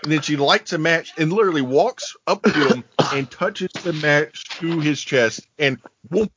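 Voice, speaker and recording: A man's voice: a little high, normal speed, a bit bright, lo-fi sound, slight background noise. A man co-hosting a podcast, recapping scenes in a dry, sarcastic and profane way. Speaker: podcast co-host. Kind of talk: casual chat, recapping a film.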